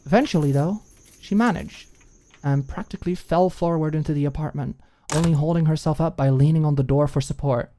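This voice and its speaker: A woman reading a scripted story as an audiobook narrator, in an expressive storytelling style. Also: low-pitched, a little fast.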